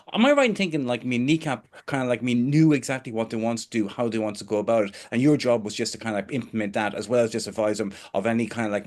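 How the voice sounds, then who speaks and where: A man from Ireland moderating a music-industry panel, asking questions with many hesitations and fillers. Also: mid-range voice. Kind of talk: panel discussion.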